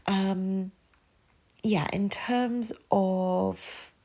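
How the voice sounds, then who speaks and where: A woman's voice: mid-range voice, steady tone, drawn-out words, gravelly, warm tone. A woman, speaking a rambling, chatty voiceover for a planner video, her nose stuffy from a cold. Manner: rambling, self-deprecating, lots of fillers. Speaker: planner vlogger. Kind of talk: casual voiceover narration.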